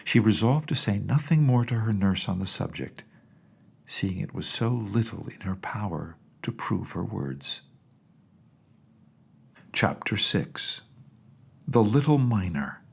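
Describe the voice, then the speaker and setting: A male narrator reads a storybook in a measured, expressive manner. His voice is low and husky, with plenty of creak in it. He is telling a scripted tale as an audiobook.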